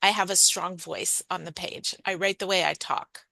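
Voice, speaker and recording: A woman author chatting candidly and quickly in an interview about how she became a writer. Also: fairly low pitch, steady tone, creaky, bright, forceful tone, dry recording. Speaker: author guest. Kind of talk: casual interview conversation.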